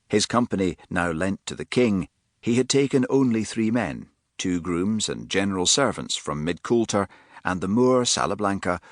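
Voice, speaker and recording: A man reading an audiobook with measured, expressive narration, recorded close on a studio mic. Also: sits low, no room sound. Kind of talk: scripted narration.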